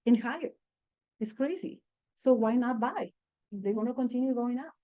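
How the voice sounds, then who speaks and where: A woman real estate agent on a panel, talking in a lively, animated way and laughing through her stories. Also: on the high side, moderate pace, highs cut off. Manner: lively and animated, laughs while telling anecdotes. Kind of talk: panel discussion.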